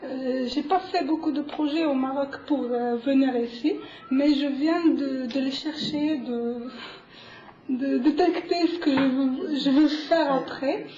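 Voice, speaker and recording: A young woman from Morocco introducing herself hesitantly to a group, with many pauses and fillers, in an echoey room. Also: high voice. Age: young adult. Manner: hesitant, many fillers and restarts, soft laughs. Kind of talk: halting self-introduction to a group. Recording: echoey room, a bit dull, faint hiss.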